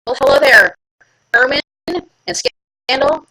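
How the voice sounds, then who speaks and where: A woman's voice: sits high, lively intonation, unhurried, pushed and bright, rough recording. A woman hosting a live stream from home, chatting casually and playfully with her viewers and teasing herself. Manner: chatty, playful, self-mocking. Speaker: livestream host.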